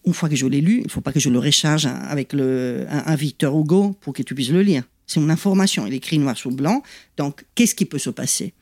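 A middle-aged woman from Italy, speaking French with an Italian accent as her second language, explaining things in a lively interview with many asides and restarts. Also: low voice, fairly quick, dry recording, studio quality. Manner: animated and explanatory, frequent "tu vois" asides and restarts. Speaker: guest, lithotherapist.

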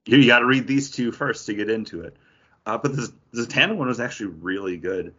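A man's voice: medium pitch, quick pace, pushed and bright. A man co-hosting a podcast in a casual, conversational discussion. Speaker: comics podcast co-host. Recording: dull lo-fi audio.

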